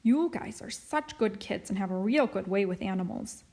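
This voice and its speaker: A woman's voice: medium pitch, lively intonation, normal speed, darkish tone. A woman voicing an eccentric, kindly older neighbor lady in an audiobook, with talkative, colloquial, folksy phrasing. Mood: friendly, coaxing. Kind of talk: audiobook character voice.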